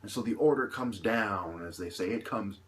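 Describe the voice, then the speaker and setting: A man with a fairly low voice speaks off the cuff to his viewers in an impassioned monologue. He builds his points through emphatic repetition and rhetorical questions, often halting between phrases. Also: a little hiss or hum. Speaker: vlogger delivering an opinion monologue.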